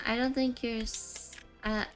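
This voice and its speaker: A woman's voice: on the high side, flat delivery, measured pace, soft background music, rough recording. A woman livestreaming a game, chatting casually and ramblingly with her viewers. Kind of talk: casual livestream chat while gaming.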